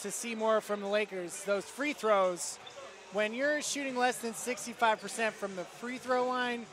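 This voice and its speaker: A man's voice: sits high, measured pace, fairly bright tone. An American man giving conversational basketball analysis as a co-commentator, with gym noise behind him. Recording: noisy gym ambience behind the voice.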